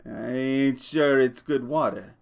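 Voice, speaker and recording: A man's voice: mid-range voice, slow, vocal fry. A man voicing a small, irritable working man who scolds and swears, from a storybook. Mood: exasperated. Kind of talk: audiobook character voice.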